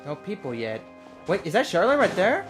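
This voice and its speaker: A woman's voice: on the low side, wildly swooping pitch, moderate pace. A woman livestreaming a video game with casual, reactive commentary. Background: game music.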